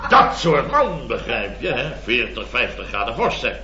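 Blustery male contractor, middle-aged, highish swooping delivery, rambling boaster in vintage radio comedy with dull sound. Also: big pitch swings, moderate pace, bright, forceful tone. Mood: boastful relish. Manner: rambling, exclamatory, constant tag words. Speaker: comic lead actor. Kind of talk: 1970s radio comedy acting. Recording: dull old radio recording, roomy, audience laughter.

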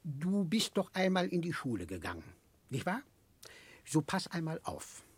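An older man playing a kindly, muddle-headed, childlike eccentric gentleman in a radio drama, husky and confiding, speaking haltingly. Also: a little high, big pitch swings. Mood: earnest, puzzled. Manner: chuckling, halting, confiding, rambling. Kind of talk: 1960s German radio drama acting.

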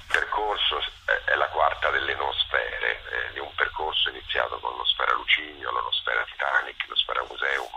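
A man being interviewed as a theatre artist, speaking thoughtfully and hesitantly, often pausing to search for words. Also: extremely low pitch, gravelly, bright, forceful tone, slight background noise, low-quality recording. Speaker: theatre actor-director, interview guest.

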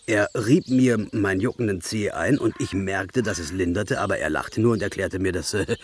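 A man's voice: sits low, normal speed, slight background noise, lightly acted. An actor playing a simple, earnest old soldier in a German radio play, telling his tales in a rambling, stammering way. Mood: stubborn, earnest.

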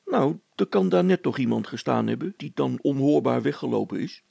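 A man voicing a calm, joking young villager in a storybook, talking in a casual, teasing way. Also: mid-range voice, a little fast, vocal fry, husky, no room sound, voice pushed up, heavily acted.

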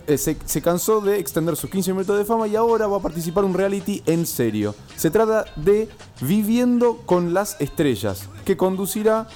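A man's voice: high voice, darkish tone. A man with an Argentine accent chatting casually and jokingly as a co-host, in colloquial language. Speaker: radio show co-host. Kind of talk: casual chat. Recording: music playing behind the voice. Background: music.

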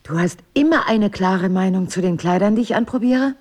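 An actress playing a wry, tender, quietly wistful wife in an intimate radio drama, with a teasing, measured delivery. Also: female voice, medium pitch, lightly acted. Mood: teasing, amused.

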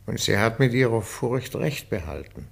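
A probing questioner in a radio drama. He sounds gravelly, formal and composed, putting questions matter-of-factly. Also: mid-range voice, animated, moderate pace, heavily acted. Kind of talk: radio play acting.

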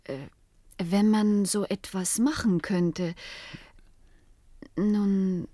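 A woman's voice: mid-range voice, steady tone, very slow, mellow, no echo. An actress playing a thoughtful female cybernetics scientist in a German science fiction radio play, hesitantly explaining and thinking aloud.

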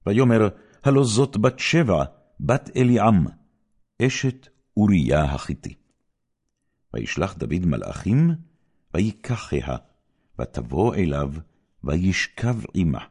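A man with a very low, creaky voice narrates scripture. His delivery is solemn and measured, yet highly expressive, with wide swings of intonation that give the biblical story dramatic shape.

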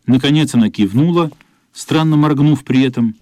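A man narrating a literary radio play in a measured, somber, dramatic style. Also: medium pitch, dark tone, no echo. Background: faint music.

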